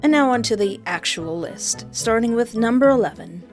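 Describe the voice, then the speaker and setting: Excitable goofy woman game commentator. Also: fairly high pitch, normal speed, faint music behind. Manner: excitable, goofy, self-interrupting. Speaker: youtube host.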